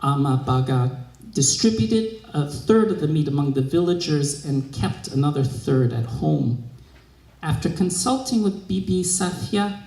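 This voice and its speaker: Creaky-voiced man reading a story. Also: a little high, expressive, unhurried, a little mellow. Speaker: literary reader. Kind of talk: expressive scripted narration of a short story. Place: live reading in a museum room. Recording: echoey room.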